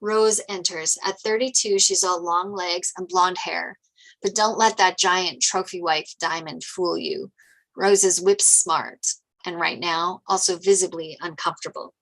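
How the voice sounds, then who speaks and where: A woman's voice: lowish voice, fairly even intonation, unhurried, a bit bright, low-quality recording. A woman reading stage directions as scripted narration at a table read.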